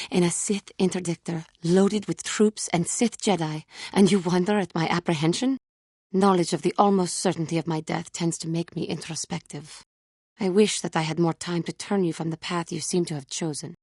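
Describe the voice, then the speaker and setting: An actress playing an earnest, solemn alien Jedi woman warrior in a video game, devoted but self-doubting, speaking in formal, measured phrases that halt with emotion. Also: fairly low pitch, fairly even intonation, darkish tone, husky, no room sound, studio quality. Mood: apprehensive, troubled. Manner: formal, measured diction without contractions, halting when emotional. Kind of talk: scripted video game character dialogue.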